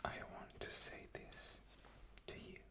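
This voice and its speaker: A man whispering an ASMR demonstration, explaining patiently and haltingly with repetition. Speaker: guest ASMR performer.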